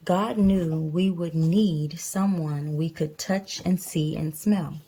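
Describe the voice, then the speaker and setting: A woman's voice: fairly low pitch, takes it slowly, mellow, slightly rough, poor audio. A woman reading a picture book to a child and chatting casually, explaining things simply and patiently. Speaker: mother reading to her child. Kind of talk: picture book read aloud with casual chat.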